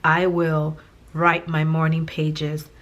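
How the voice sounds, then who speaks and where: Hoarse, low-voiced woman chatting. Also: completely flat delivery, takes it slowly, dull audio. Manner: chatty, rambling, many fillers and restarts. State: hoarse, raspy from a lost voice. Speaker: planning vlogger. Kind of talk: casual chat while planning.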